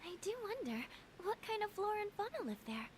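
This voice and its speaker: A woman voicing a bright, teasing young woman in an anime video game. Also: very high-pitched, very animated, a little fast, a little hiss or hum. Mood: light, curious.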